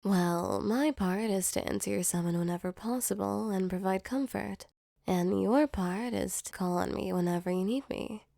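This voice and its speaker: Casting: woman as affectionate sleep demon, creaky soft voice, close and dry, reassuring ASMR roleplay delivery. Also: medium pitch, moderate pace, dry recording, lightly acted. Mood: gentle, matter-of-fact. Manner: soothing, tender, reassuring. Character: gentle female sleep paralysis demon, affectionate and protective. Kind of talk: ASMR comfort roleplay, soft intimate acting.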